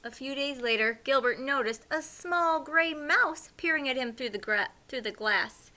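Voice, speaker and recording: A woman reading a picture book aloud as a teacher would, animated, with hushed asides to young listeners. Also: sits high, normal speed.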